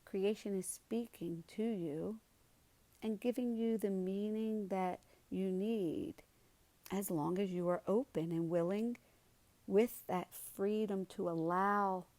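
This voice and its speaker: A woman leading a guided meditation in a soothing, unhurried way, with soft, gentle delivery and pauses. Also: mid-range voice, steady tone, no echo.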